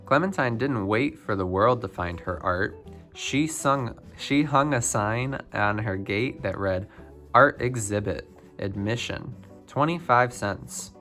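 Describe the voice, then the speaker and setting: A man's voice: lowish voice, slow pace, bright, forceful tone. Man reading a picture book aloud over music. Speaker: storybook reader. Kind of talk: read-aloud of a children's picture book biography.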